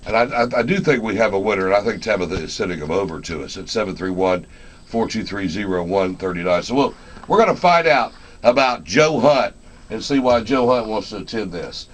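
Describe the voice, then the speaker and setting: A man hosting a live radio interview in a folksy, halting way, often repeating words. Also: on the low side, lively intonation, slight background noise. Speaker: radio show host.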